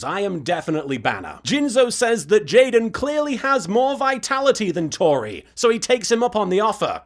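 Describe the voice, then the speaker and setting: High-pitched sarcastic man narrating a recap. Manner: sarcastic, sweary. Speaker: host of an anime recap series. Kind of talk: scripted comedic recap narration.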